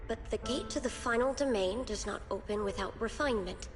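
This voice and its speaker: An actress plays a serene, scheming woman zealot from a fantasy video game. Her fairly high voice is ceremonial and taunting, preaching salvation in grand phrases over prominent music. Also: a little fast, natural voice. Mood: solemn. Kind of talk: dramatic video game voice acting. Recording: loud game music behind.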